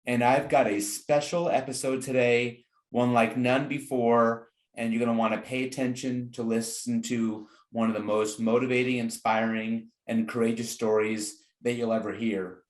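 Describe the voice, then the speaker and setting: A man's voice: mid-range voice, little pitch movement, moderate pace, hardly any pauses. A man hosting an interview podcast, speaking conversationally in an earnest, encouraging manner. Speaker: podcast host. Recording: roomy, echoing sound.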